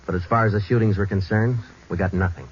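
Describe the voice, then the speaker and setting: An actor as a terse police detective. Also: male voice, lowish voice, steady tone, quick pace, slight background noise, lightly acted. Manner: terse, matter-of-fact, clipped. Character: male police detective narrator, terse and clipped. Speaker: narrator. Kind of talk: 1940s radio acting. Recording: lo-fi 1940s radio recording with music.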